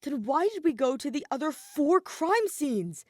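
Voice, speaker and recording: A woman's voice: high-pitched, big pitch swings, unhurried, a little mellow, no echo, studio quality, voice pushed up. A woman voicing an earnest, determined but anxious teenage boy in a fan fiction audiobook. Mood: exasperated.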